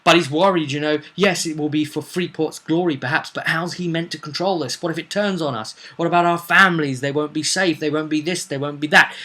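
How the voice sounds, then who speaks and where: Bright-voiced, dramatic man telling stories. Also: on the high side, steady tone, brisk, few pauses. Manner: dramatic, emphatic, with rhetorical questions. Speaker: game master recounting a session. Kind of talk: animated vlog storytelling.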